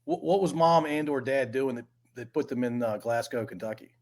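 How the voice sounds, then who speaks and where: A man's voice: mid-range voice, brisk, a little mellow. A man hosting a podcast, joking with his guest and asking short questions while laughing along. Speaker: podcast host. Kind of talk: casual interview chat.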